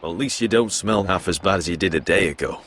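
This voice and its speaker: A man's voice: deep voice, quick pace, fairly bright tone. An actor playing a loyal, roguish young nobleman turned sellsword in a video game, earnest and familiar in manner. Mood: dry, concerned. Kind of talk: dramatic video game voice acting. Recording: faint background ambience noise.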